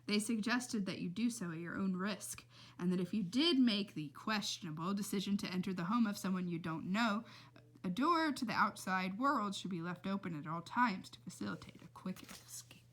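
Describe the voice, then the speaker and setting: A woman's voice: mid-range voice, moderate pace, a bit bright. A woman reading a storybook aloud expressively, with dramatic emphasis and casual asides. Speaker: read-aloud reader.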